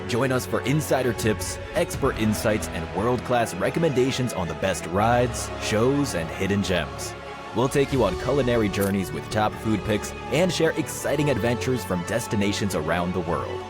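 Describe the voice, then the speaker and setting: A man's voice: lowish voice, expressive, normal speed. A man reading a polished, upbeat scripted intro for a podcast. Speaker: show intro announcer. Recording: intro over loud music.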